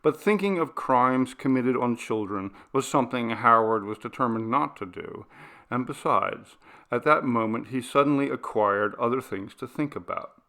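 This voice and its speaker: Male audiobook narrator for horror fiction, bright forceful tone with gravelly creak, expressive and deliberate. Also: medium pitch. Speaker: narrator reading a horror short story.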